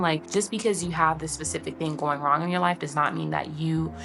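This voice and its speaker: A woman's voice: low voice, gravelly, noisy background. A young woman in casual chat, rambling with many fillers and restarts, then turning earnest and encouraging. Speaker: vlogger. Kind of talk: casual chat while getting ready. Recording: music playing underneath.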